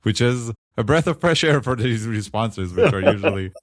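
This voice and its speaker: A man with an Israeli accent hosting a casual tech podcast, chatty and relaxed. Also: mid-range voice, normal speed, no echo, clean studio sound. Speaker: podcast host.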